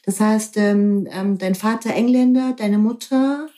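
A woman's voice: mid-range voice, unhurried, dark tone. A woman interviewing a guest in a casual chat, prompting him and backing him up with short agreements. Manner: prompting, supportive backchannels.

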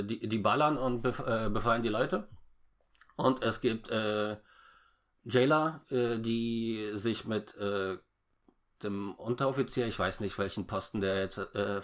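A man with a fairly low voice gives a casual, unscripted recap. He speaks haltingly, stammering over words and restarting his sentences as he goes. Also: a bit bright. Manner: halting, stammering, frequent false starts. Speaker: podcast co-host recapping a film.

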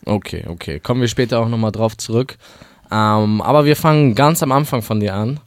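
Easygoing male host, low voice. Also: fairly quick, no echo, clean studio sound. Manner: easygoing, short slangy reactions. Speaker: interview host. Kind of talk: casual interview.

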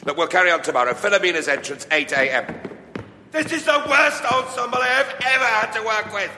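A man's voice: high-pitched, brisk, sharp tone, a little hiss or hum, full character voice. An actor playing a harsh, domineering theatre director, scornful and theatrical as he berates his cast. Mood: furious, scornful. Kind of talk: scripted audio drama. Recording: reverberant hall, theatre stage acoustic.

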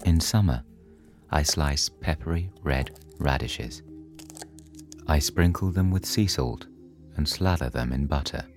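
A man narrating a scripted bedtime story in a soothing, measured manner. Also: a bass voice, soft background music.

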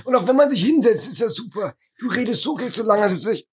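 A man putting on a raspy voice of an old man who has drunk and smoked too much. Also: sits high, lively intonation, quick pace, pitched above the performer's own voice, exaggerated voice. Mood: amused. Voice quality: raspy, hoarse put-on voice. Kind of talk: casual podcast chat.

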